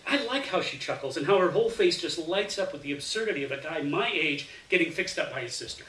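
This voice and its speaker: Male story reader for literary fiction: bright, expressive delivery, recorded at a distance in a roomy space. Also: fairly high pitch, quick pace. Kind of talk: live literary fiction reading, first-person narration. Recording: roomy, distant mic, faint hiss.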